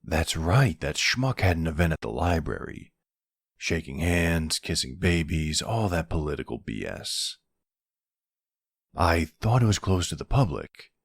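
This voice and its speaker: A man's voice: big pitch swings, unhurried, dry recording, studio quality, heavily acted. A man playing a weary, exasperated but courteous mafia boss in an ASMR audio roleplay, with wry, colloquial delivery. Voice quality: deep, smooth, gravelly creak. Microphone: close, dry microphone.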